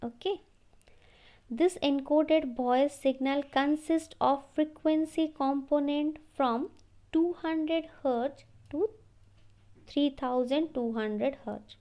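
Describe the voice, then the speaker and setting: A woman giving an explanatory slide lecture, halting and repeating phrases as she goes. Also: high voice, mellow. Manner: halting, repeats phrases, often says okay.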